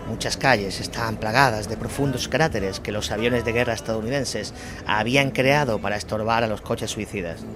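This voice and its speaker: A man's voice: on the low side, background noise. A man narrating a dramatized war chronicle as a scripted audio story. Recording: music bed behind the voice. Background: music.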